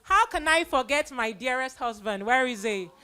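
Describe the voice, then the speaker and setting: Female event convener, high bright voice, effusive and exclamatory, delivering an informal vote of thanks. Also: lively intonation, moderate pace, clean tone. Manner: effusive, exclamatory, repeats phrases for emphasis. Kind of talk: informal thank-you speech to an audience.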